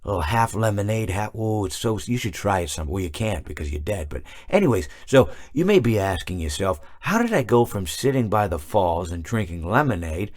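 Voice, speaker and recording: A man voicing a gentle, philosophical animatronic oddball in a game, rambling haltingly and stammering through a monologue. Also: fairly low pitch, very animated. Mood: musing.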